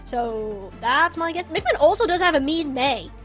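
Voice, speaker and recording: A woman's voice: sits high, animated, normal speed, a bit bright, faint music behind. A woman giving live esports commentary and casual game analysis, opinionated and colloquial in how she talks.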